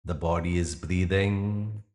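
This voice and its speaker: A man guiding a yoga nidra meditation in a soothing, instructional manner with pauses between phrases. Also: low voice, steady tone, darkish tone. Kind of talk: guided meditation narration.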